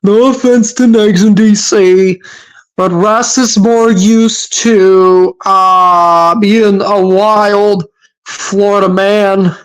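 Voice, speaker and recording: A woman's voice: mid-range voice, steady tone, drawn-out words, clear, resonant tone, rough recording. A woman from Massachusetts hosting a livestream, reacting to clips with sarcastic, scolding commentary and frequent hesitations.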